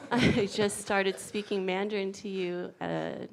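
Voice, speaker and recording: An American woman giving a grateful personal testimony, soft-spoken and steady with small fillers. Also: mid-range voice, slow pace, a little hiss or hum, low-quality recording. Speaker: pilgrim sharing reflections.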